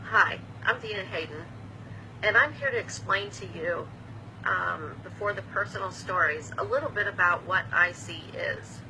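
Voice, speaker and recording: A woman's voice: medium pitch, moderate pace, background noise. A woman in her fifties, a patient advocate, explaining an illness to camera in a plain, patient way with small restarts. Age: 50s. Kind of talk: earnest explanatory talk to camera.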